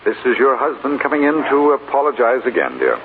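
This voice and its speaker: An actor playing a troubled, self-mocking, tender husband in a 1940s radio drama, hesitant and wry. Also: male voice, mid-range voice, big pitch swings, fairly quick, lightly acted. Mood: apologetic, tender. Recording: scratchy, tinny 1940s radio broadcast.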